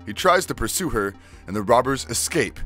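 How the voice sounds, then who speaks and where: A man with a medium-pitched voice delivers scripted recap narration. He works as a video narrator, with faint music playing behind him. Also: very animated, fairly quick, pushed and bright.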